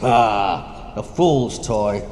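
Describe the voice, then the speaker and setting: An actor playing Death personified as a sly, taunting figure with grandiose, mocking declamation in a stage-like audio drama. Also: male voice, fairly low pitch, extremely slow, a little mellow. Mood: wry. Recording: echoey hall, faint noise.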